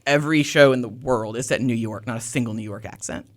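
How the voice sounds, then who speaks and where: Fast-talking joking male podcast host. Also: medium pitch. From Boston area. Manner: rambling, joking, opinionated, with many "like"s. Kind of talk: casual interview chat.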